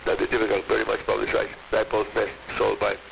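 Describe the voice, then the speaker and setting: A man's voice: lowish voice, completely flat delivery, quick pace, vocal fry. A man from Cuba, a native Spanish speaker with a Cuban accent, hosting a scripted radio hobby program in an emphatic way, heard over shortwave. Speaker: radio host. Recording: noisy, narrowband shortwave radio broadcast reception.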